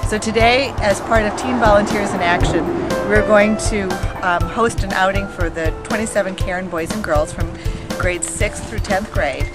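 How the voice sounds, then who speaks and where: A woman narrating a short volunteer documentary in an earnest, steady explanatory read. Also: medium pitch, normal speed, over loud music. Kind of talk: reading a prepared narration.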